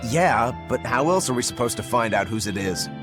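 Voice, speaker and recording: A man's voice: medium pitch, lively intonation, a little fast, music in the background, natural voice. Man playing a wry, easygoing swordsman. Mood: curious. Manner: casual, wry. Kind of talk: video game acting.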